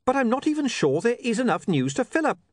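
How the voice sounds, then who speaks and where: A man voicing a brisk, practical young woman with a high, lifted voice. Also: sing-song, no echo, clean studio sound, full character voice.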